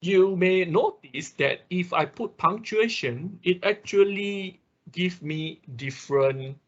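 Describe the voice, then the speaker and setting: A man with a slightly high voice teaches an online technical class. He is a non-native English speaker and explains each step in turn, punctuating with small check-in words. The audio is dull and lo-fi. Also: slow pace, fairly bright tone. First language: not English. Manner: step-by-step explaining, often checking with "okay" and "right". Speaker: instructor of an online robotics programming class. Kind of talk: live tutorial with screen demonstration.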